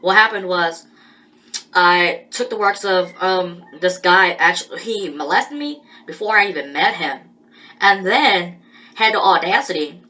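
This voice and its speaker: A woman talking through a personal monologue in a halting, rambling way, with many pauses and restarts. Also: on the low side, lively intonation, sharp tone, low-quality recording.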